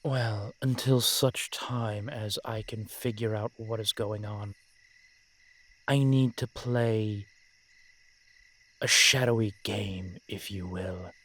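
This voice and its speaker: A man playing a brooding, protective vampire in an audio roleplay, speaking in formal, measured phrases with dramatic pauses. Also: lowish voice, fairly even intonation, a bit bright. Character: brooding, protective male vampire, old-fashioned and frustrated. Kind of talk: scripted audio roleplay acting addressed to the listener.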